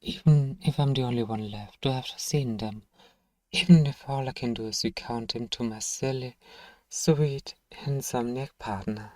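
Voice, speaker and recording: A man voicing a thousand-year-old vampire, nostalgic, tender and sorrowful, in a soft, measured ASMR role-play delivery that dips toward whispers. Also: mid-range voice, no echo, recorded from a distance, close to an everyday voice. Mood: fond, tender.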